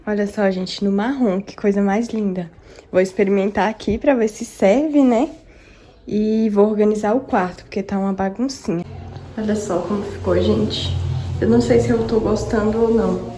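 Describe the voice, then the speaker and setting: A young woman with a hoarse voice from a cold, chatting casually and affectionately to her viewers in a vlog. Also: medium pitch, fairly even intonation, moderate pace, warm tone, a little hiss or hum. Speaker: vlogger. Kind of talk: casual vlog talk to camera.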